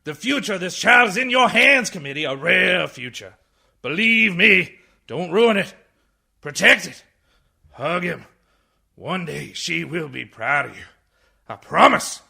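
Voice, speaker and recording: An actor playing a blind, tired old man, a blustering, indignant orator with a raspy voice in a radio comedy. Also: high voice, lively intonation, unhurried, long pauses, exaggerated voice. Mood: earnest, impassioned. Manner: theatrical, ranting, swings from muttering to shouting. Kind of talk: radio comedy play parodying a film speech.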